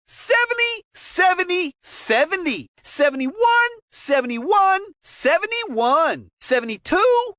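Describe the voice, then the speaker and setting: A very high, lively cartoon sports commentator for a kids' hockey video game. The calls are short and emphatic, with intonation that swings up and down, delivered at an unhurried pace in a dry, close recording. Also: female voice, no echo, exaggerated voice.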